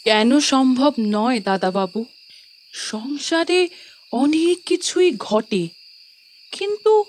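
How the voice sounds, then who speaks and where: Elderly female servant, high pitch, unhurried delivery, anxious, grumbling, superstitious, horror radio play. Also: full character voice. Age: older. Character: elderly Bengali maidservant, fretful, grumbling, devoted, superstitious. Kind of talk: audio horror drama acting.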